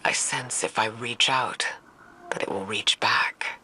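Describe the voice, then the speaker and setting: A woman acting a grave, scholarly mystic warrior in a game cutscene, speaking formally and with quiet reverence. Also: very deep voice, whispered, full character voice, poor audio. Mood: reverent, yearning. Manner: measured, formal, solemn. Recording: faint background ambience.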